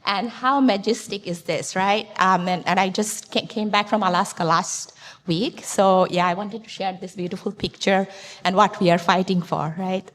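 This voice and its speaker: A woman from Bangladesh speaking on a conference panel about climate, her pitch mid-range. She talks earnestly and sometimes restarts her words. A long reverb rings through the hall. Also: fairly even intonation, normal speed, slight background noise.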